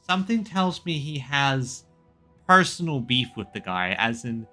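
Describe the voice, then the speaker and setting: A man's voice: mid-range voice, big pitch swings, measured pace, sharp tone, faint music behind. A man doing casual video game commentary as a streamer, chatting and reading lines aloud.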